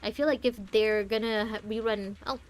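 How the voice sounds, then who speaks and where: A woman's voice: medium pitch, steady tone, moderate pace. A woman streaming a game, chatting casually and thinking aloud in a rambling way. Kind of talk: casual chatty playthrough commentary.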